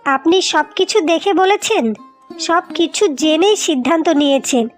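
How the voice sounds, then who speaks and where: A proud, feisty young cartoon wife, acted by a woman, with an extremely high voice. She speaks firmly, as if telling someone off. Music plays underneath. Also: moderate pace. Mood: firm, defiant. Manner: firm, scolding. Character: feisty, proud young wife defending her family home. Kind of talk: cartoon dialogue.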